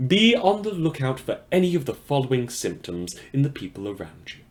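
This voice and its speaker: A man's voice: mid-range voice, sing-song, fairly quick, close to an everyday voice. A man playing a wry, awkward, self-deprecating small-time radio news host in a fiction podcast set after the apocalypse. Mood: theatrical, sardonic. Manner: rambling, self-deprecating, dryly sardonic.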